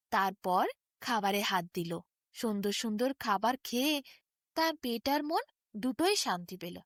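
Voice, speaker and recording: A woman narrating a scripted bedtime fairy tale in an expressive, descriptive storytelling manner. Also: fairly high pitch, dry recording, clean studio sound.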